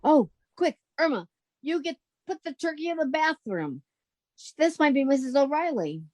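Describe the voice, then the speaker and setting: A woman's voice: high voice, expressive, moderate pace, darkish tone, no room sound. A woman playing a sensible, wry young roommate who narrates a 1940s-style radio comedy. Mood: anxious. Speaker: actress in theatre company.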